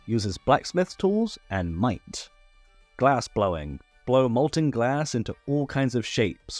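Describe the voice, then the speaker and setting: A man's voice: medium pitch, a little mellow. A man hosting a scripted tabletop game rules explainer, listing things step by step. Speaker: tutorial host. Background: background music.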